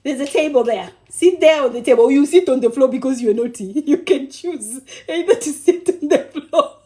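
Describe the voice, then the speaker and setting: A woman from Kenya chatting on a cooking livestream in a high, lively voice. She is bubbly and affectionate with her viewers, laughs often and teases them playfully. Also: moderate pace. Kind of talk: casual livestream chat with viewers.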